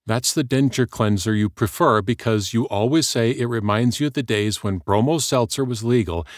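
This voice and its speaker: A man's voice: mid-range voice, moderate pace, no room sound, studio quality, voice pushed up, close to an everyday voice. A man voicing a persistent, pushy man who keeps calling. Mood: insistent. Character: persistent, intense man, obsessive caller.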